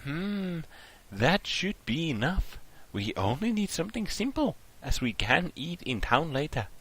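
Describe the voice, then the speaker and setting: A man voicing a polite, composed young woman with measured, gentle phrasing while reading a visual novel. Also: fairly high pitch, sing-song, breathy edge, voice pushed up, heavily acted. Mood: calm, gentle.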